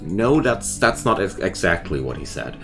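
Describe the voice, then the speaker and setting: Low-voiced man chatting on a game stream. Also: vocal fry. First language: not English. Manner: rambling and chatty, restarts thoughts. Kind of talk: casual chat with viewers while gaming.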